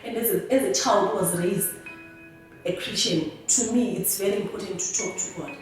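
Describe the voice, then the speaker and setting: A young woman from Zimbabwe with a Zimbabwean accent, telling her personal story in a halting, earnest way that often restarts words. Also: mid-range voice, faint music behind. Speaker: interviewee. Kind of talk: personal testimony. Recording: very echoey room, voice from a distance.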